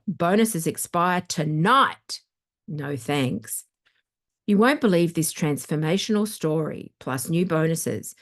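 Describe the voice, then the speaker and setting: A woman's voice: deep voice, a little mellow. A woman reading a book aloud as a storytime host, expressively and with a wry, conversational touch.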